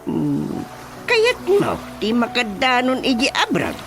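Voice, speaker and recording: Animated, wily older Ilocano chairman. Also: very high-pitched, slow pace, loud music behind the voice, heavily acted. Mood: coaxing. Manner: chuckling, coaxing, animated. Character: wily, jovial older village chairman playing matchmaker. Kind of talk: Ilocano radio drama comedy acting.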